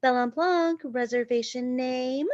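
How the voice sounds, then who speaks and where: A woman's voice: high voice, measured pace, darkish tone, no echo, highs cut off, pitched above the performer's own voice. A woman voicing a glamorous, haughty bridal shop manager with an affected, effusive manner in a comedy screenplay read.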